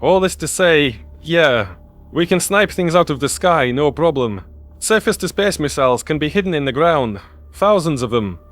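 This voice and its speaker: A man voicing an opinionated, higher-pitched young crewman. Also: animated, normal speed, fairly bright tone. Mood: defensive, explaining. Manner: argumentative, colloquial. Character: young adult human man, opinionated conspiracy-minded crew member.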